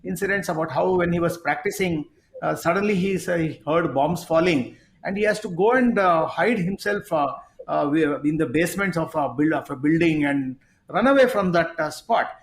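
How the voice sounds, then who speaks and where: A man with an Indian English accent, hosting a sports talk show and speaking haltingly with many fillers. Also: on the high side. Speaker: talk show host, sports psychology coach. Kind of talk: conversational interview talk. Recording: roomy echo.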